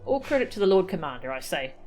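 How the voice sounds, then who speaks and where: A woman's voice: on the low side, sing-song, fast, darkish tone, soft background music. Woman reading a proud, boastful fantasy townsperson. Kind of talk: reading game dialogue aloud.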